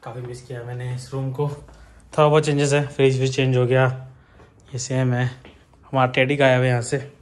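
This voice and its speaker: A young man vlogging casually to his viewers from cars and a flat, teasing and chatty, filmed on a handheld camera at arm's length. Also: mid-range voice, moderate pace. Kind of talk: casual travel vlog chat. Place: in cars, a flat and outdoors at night. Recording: noisy street and car noise.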